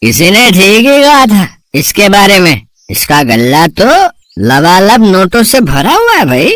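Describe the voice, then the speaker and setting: A man's voice: sits high, huge pitch swings, takes it slowly, fairly bright tone, no room sound, clean studio sound, exaggerated voice. A man voicing a sly middle-aged swindler and gambler, insinuating and coaxing, in a moral story. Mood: scheming. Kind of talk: Hindi moral story voice acting.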